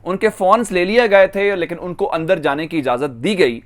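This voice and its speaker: A man's voice: high voice, normal speed. A man with a Pakistani accent delivering a political news monologue as an online channel host, stressing and drawing out key phrases.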